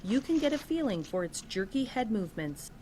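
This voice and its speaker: A woman's voice: mid-range voice, big pitch swings, a little fast, mellow, slight background noise. A woman reading scripted nature narration for a children's educational video.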